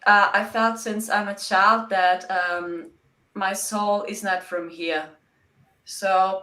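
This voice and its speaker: German woman with a German accent, bright voice, halting answers, echoey room; interview guest. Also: mid-range voice. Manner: halting, simple phrasing with frequent "yeah".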